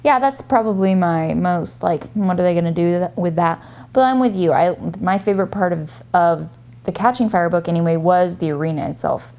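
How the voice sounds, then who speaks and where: A woman's voice: fairly low pitch, moderate pace, warm tone. A woman co-hosting a casual book chat in a blunt, teasing way. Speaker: co-host of a book discussion video.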